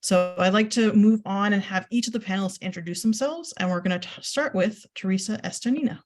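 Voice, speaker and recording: A woman's voice: medium pitch, darkish tone. Upbeat woman moderating a panel. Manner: upbeat, quick spoken acknowledgements between guests.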